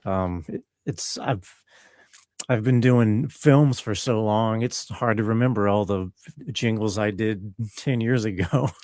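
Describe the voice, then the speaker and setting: A middle-aged man chatting casually as an interview guest, thoughtful and halting as he searches for words. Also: fairly low pitch, darkish tone, no room sound, studio quality. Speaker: interview guest, composer and audio engineer. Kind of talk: casual reflective chat.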